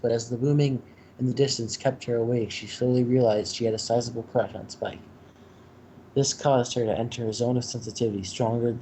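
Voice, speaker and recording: A man's voice: medium pitch, mellow. A man reading a story aloud as its narrator. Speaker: reader in a group fanfiction reading. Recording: faintly noisy home recording.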